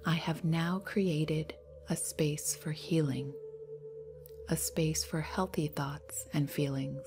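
A woman leading a guided meditation in a soft, breathy, half-whispered voice, soothing and unhurried, leaving long pauses. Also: deep voice, steady tone. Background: meditation music.

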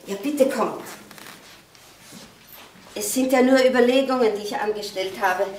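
A woman's voice: a little high, a little slow, a little mellow, roomy sound, a little hiss or hum, lightly acted. An actress playing an earnest, wistful widowed mother of grown sons, hesitant and imploring, in a naturalistic crime drama. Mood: pleading, wistful.

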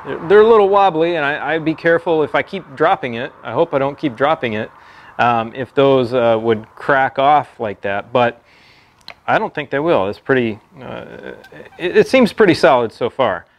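An American man in his thirties giving a casual product review to camera in a halting, conversational way. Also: medium pitch, expressive, lo-fi sound. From Texas, United States. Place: open metal-roofed stable, seated at a pickup tailgate.

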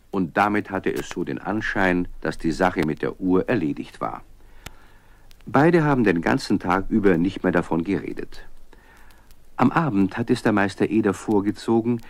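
A man's voice: low voice, lively intonation, normal speed. A man narrating a scripted children's story, talking to his young listeners as a storyteller. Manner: storyteller addressing young listeners with teasing questions.

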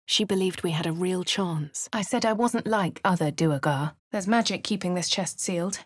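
A posh fantasy game heroine. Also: female voice, on the low side, normal speed, husky, no echo. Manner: refined posh delivery. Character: posh adventurous young woman hero. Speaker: player character. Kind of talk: video game narration and dialogue. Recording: dry studio booth.

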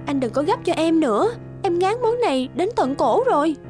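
A discontented young career wife in a cartoon drama. Her voice is high and she talks in a curt, complaining way over background music. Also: sing-song, normal speed, darkish tone. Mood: irritated. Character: discontented, ambitious young wife and office manager.

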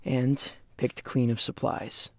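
A man's voice: medium pitch, level tone, slow pace, no room sound. A young man acting as a weary, reflective survivor of a post-apocalyptic world in an audio drama. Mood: somber, reflective.

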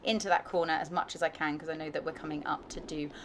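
A woman's voice: low voice, little pitch movement, fast talker, background noise. A young woman with a British accent, an equestrian vlogger giving chatty, upbeat voice-over commentary on her own showjumping round. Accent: British English. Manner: chatty, upbeat, self-reflective.

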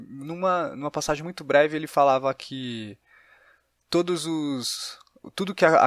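A man's voice: fairly high pitch, fairly bright tone, dry recording, clean studio sound. A man with a Brazilian accent hosting a conversational podcast interview, building long, exploratory questions.

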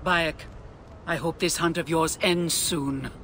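Game role: grave healer and loyal ally, man, solemn and caring, slightly raised pitch, crisp bright tone, formal. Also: fairly even intonation, takes it slowly, a little hiss or hum. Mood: solemn, concerned. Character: solemn, concerned male healer and devout ally. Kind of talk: dramatic game cutscene dialogue.

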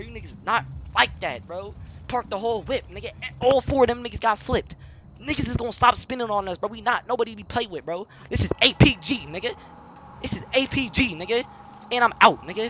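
A young man live-streaming a role-play game, talking in excited, boastful, repetitive street slang with lots of swearing. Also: high voice, a little hiss or hum.

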